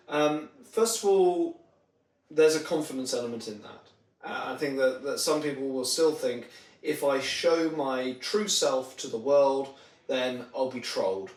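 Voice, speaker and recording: A man giving conversational explanations as an interview guest, illustrating his points with examples. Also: mid-range voice. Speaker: podcast guest, marketing trainer. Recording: roomy echo, distant microphone.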